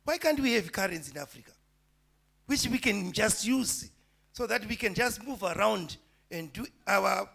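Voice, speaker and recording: A man, a reverend, preaching to a gathering with emphatic, loud rising declarations. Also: sits high, expressive, moderate pace, gravelly. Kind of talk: preaching address.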